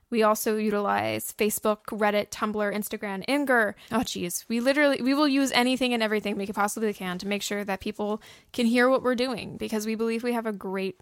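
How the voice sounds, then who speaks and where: A woman's voice: medium pitch, steady tone, fairly quick, no room sound, studio quality. A young woman co-hosting a podcast, giving casual, earnest and encouraging advice. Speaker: podcast host and audio drama producer. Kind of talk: casual how-to podcast talk.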